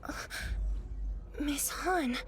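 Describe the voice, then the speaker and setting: Very high-voiced, timid young girl. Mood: nervous, hesitant. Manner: hesitant, halting, meek. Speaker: voice actor in a video game. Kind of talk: scripted dramatic game acting. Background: music.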